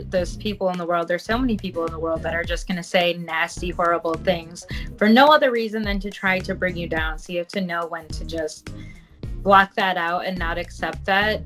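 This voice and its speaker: A woman's voice: lowish voice, fairly bright tone. A young woman guest in a casual video call interview, upbeat and chatty. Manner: upbeat, frequent agreeing murmurs. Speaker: pageant contestant guest. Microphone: video call mic.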